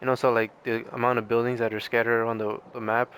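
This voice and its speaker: A man's voice: mid-range voice, level tone, quick pace, fairly bright tone, a little hiss or hum. A man doing casual gameplay commentary in an informal, rambling, hesitant way, his voice slightly strained as if starting to give out. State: losing his voice, mildly strained. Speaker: gaming video creator.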